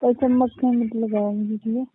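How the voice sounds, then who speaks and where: Woman playing a pouty young wife, high-ish, phone-like. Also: little pitch movement, normal speed, no echo, lightly acted. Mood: pouty, teasing. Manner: pouty, curt, teasing. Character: pouty, teasing young wife, mock-indignant. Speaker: wife in a couple's call. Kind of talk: intimate casual couple banter. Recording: tinny narrow phone-call sound.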